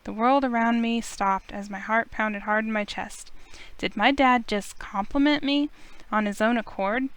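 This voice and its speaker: A woman's voice: a little high, steady tone, measured pace, a bit bright, clean tone. A woman playing an earnest, anxious young heiress, narrating her own story in a visual novel. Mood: reflective, tense. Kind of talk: scripted first-person visual novel narration.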